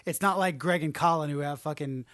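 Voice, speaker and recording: Higher-pitched man, dry close sound. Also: a little fast, fairly bright tone, no echo. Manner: loose, swears freely, rambling. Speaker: podcast co-host. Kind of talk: casual gaming chat.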